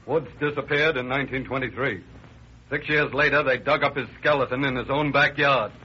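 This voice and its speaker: An actor playing a matter-of-fact county official delivering a formal report in 1930s radio style. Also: male voice, mid-range voice, brisk, lightly acted. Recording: dull, noisy old radio recording.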